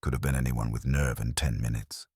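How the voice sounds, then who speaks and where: A man's voice: very deep voice, fairly even intonation, fairly quick, darkish tone, breathy edge, no echo, clean studio sound, voice pushed down. A man voicing a stern, suspicious man with a clipped, exact way of speaking, in an audiobook mystery.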